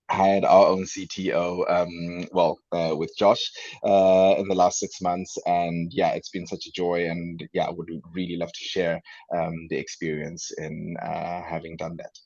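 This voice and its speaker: A man from Zimbabwe, a startup CEO, speaking in a measured way on an online panel over dull video-call audio. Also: low voice, a little mellow, no room sound. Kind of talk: panel discussion.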